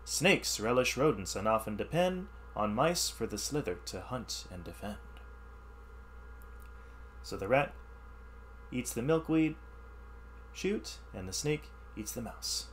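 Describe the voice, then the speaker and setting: A man teaching through an expressive read-aloud of rhyming science verse, with explanatory asides in an instructional manner. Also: medium pitch, takes it slowly, long pauses, a little hiss or hum. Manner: animated, instructional.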